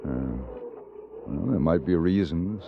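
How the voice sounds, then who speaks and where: Courteous low-voiced Western traveler. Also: male voice, lively intonation, slow pace, gravelly, very noisy, exaggerated voice. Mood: polite, mild. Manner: polite, tentative. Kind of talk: old-time radio Western acting. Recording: muffled old radio recording.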